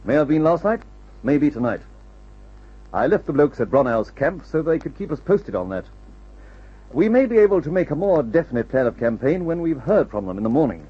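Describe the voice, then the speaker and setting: Brisk flying-team leader, confident, animated, husky, vintage radio adventure, dull lo-fi recording. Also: male voice, a little high. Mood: brisk, assured. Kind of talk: mid-20th-century radio adventure serial acting. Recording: muffled, dull old radio serial recording.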